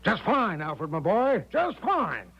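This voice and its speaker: High-voiced, blustering American major. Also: male voice, wildly swooping pitch, slow, vocal fry, fairly bright tone, husky, full character voice. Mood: breezy, insistent. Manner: breezy, insistent, sweeping objections aside. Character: blustering, overconfident American major, big bluff charming man. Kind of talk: 1970s radio drama acting. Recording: dull vintage radio recording.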